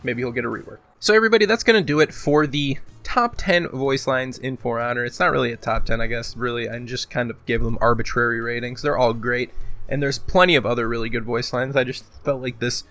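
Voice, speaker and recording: A man's voice: mid-range voice, brisk. A man with a sore throat giving casual, rambling, unscripted countdown commentary with some swearing and slang. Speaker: video host, gaming commentator. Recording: loud music behind the voice. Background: music.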